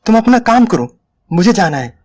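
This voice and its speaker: A man voicing an exhausted, bitter woman in a dramatic story reading, curt, sharp and irritable. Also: high voice, big pitch swings, normal speed, voice pushed up. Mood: irritated.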